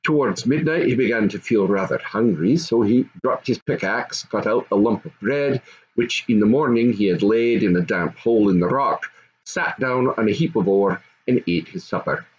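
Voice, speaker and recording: A man's voice: fairly low pitch, normal speed, breathy edge, dull audio. An older man, a grandfather, reading a children's story aloud with expression. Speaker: storybook reader.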